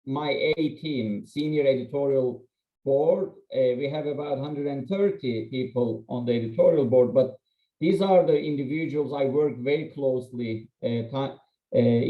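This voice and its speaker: A man's voice: medium pitch, normal speed. A man from Turkey, a non-native English speaker and journal editor, presenting an academic webinar in a candid, self-deprecating, conversational way with frequent fillers. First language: Turkish. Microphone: computer mic on video call. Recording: echoey, slightly muffled video-call audio.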